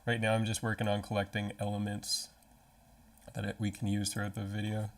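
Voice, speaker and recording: A man's voice: fairly low pitch, monotone, a little fast. A man in his thirties giving casual livestream commentary as the host. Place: small room at a desk, indoors.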